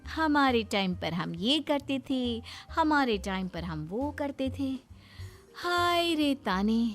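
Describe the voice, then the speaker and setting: A woman's voice: sits high, big pitch swings, takes it slowly, faint music behind, full character voice. A woman playing a young daughter-in-law in a dramatized moral story, pleading and wryly complaining. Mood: exasperated. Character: put-upon young daughter-in-law, pleading, quietly exasperated.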